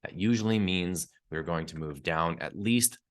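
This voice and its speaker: A man with a very deep voice walks listeners through a market update podcast, sounding stuffy and congested from a cold. He keeps an even, analytical delivery and drops in the odd "uh" as he goes. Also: normal speed, clear, resonant tone. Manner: steady analytical delivery with fillers. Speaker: podcast host and newsletter publisher.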